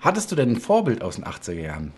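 A man's voice: lowish voice, wildly swooping pitch, very fast, husky. A man in his thirties or forties hosting a casual interview, enthusiastic and often breaking off to restart his thought. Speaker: interview host. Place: small home studio room.

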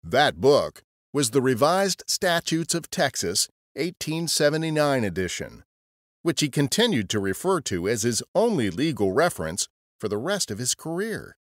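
A man's voice: medium pitch, very animated, normal speed, no echo, clean studio sound. A man narrating a scripted history podcast as a storyteller.

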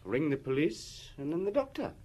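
An actor playing an obliging, deferential junior detective in a radio drama. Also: male voice, a little high, big pitch swings, moderate pace, mellow, slightly rough.

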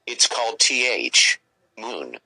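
A man's voice: on the low side, very animated, slow, gravelly, pushed and bright, poor audio. A man delivering scripted, ominous emergency broadcast announcements in the role of an alert announcer.

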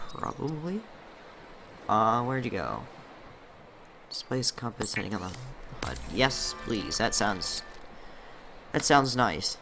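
A young man commentating his own gameplay in a casual, chatty way, muttering to himself, his voice slightly rough from a recent illness. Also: fairly low pitch, background noise. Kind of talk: casual let's-play commentary.

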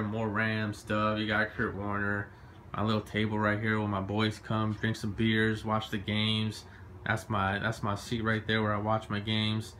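A man shows off his bedroom in a slangy, boastful, laid-back way. His voice is bright and forceful, recorded on a handheld phone. A faint room noise hums behind him. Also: on the low side. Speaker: vlogger giving a room tour. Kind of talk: casual filmed room tour. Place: small bedroom at home, indoors. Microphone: handheld phone camera.

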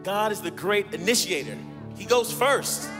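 A man's voice: high voice, animated, unhurried, over music. A man in his thirties leading worship on a church stage, upbeat and encouraging the congregation. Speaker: worship leader. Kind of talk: exhorting a congregation between songs. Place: large church stage indoors. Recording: reverberant church hall with band playing.

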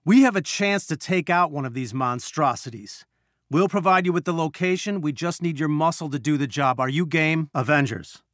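An actor playing an earnest, brainy scientist and weary resistance leader, giving articulate, measured mission briefings in a video game. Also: male voice, a little high, fairly bright tone, dry recording, studio quality, close to an everyday voice. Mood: grateful, resolute.

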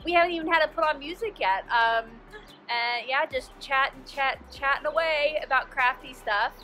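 A woman's voice: sits high, normal speed, sharp tone, faint music behind. Chatty, upbeat woman vlogger. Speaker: craft vlogger. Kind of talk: casual vlog chat and voice-over narration. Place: inside a car and outdoors at a rest area. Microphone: phone held at arm's length.

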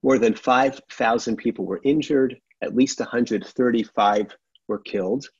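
A middle-aged man, a rabbi, giving a measured, earnest sermon from a sunlit room at home over a laptop webcam. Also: mid-range voice, husky, dry recording. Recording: dull, dry webcam audio.

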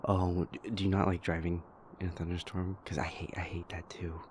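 A man playing a shy, anxious, gentle young boyfriend in an audio roleplay, stammering, hesitant and apologetic in a soft-spoken way. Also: deep voice, level tone, brisk, slight background noise, lightly acted. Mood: nervous, chatty.

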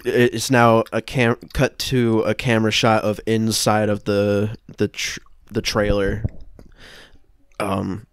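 A young man improvising scene narration for a comedy podcast, halting as he searches for words. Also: fairly low pitch, level tone, clear, resonant tone, dry recording. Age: young adult. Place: small room at home, video call.

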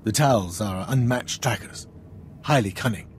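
A man's voice: on the low side, measured pace, slight background noise, close to an everyday voice. An actor playing a deferential, earnest military commander in a video game, speaking formally and apologetically as he explains. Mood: apologetic, earnest.

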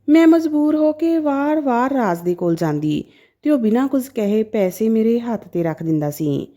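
A woman narrating a dramatic first-person story in an expressive, emotive way. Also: a little high.

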